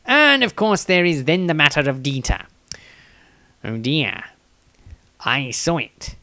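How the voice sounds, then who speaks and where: A man's voice: on the high side, big pitch swings, takes it slowly, sharp tone, no room sound, full character voice. A man voicing a conceited male scholar of magic, pompously reading from his storybook diary. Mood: suspicious, self-important.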